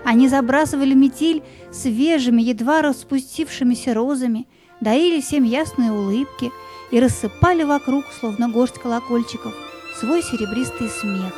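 A woman narrates a scripted fairy tale in a mellow, fairly high voice. The sound is dry and close, with faint music behind her. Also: moderate pace.

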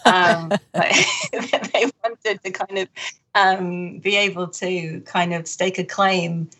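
A woman who translates books, answering modestly and hesitantly, with many pauses and nervous laughs. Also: fairly low pitch, steady tone, fairly bright tone. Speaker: literary translator. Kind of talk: conversational interview answers.

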